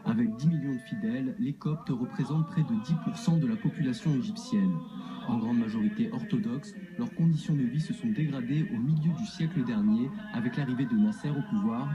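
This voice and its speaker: A man narrating a scripted TV news report in an even, measured way. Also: medium pitch.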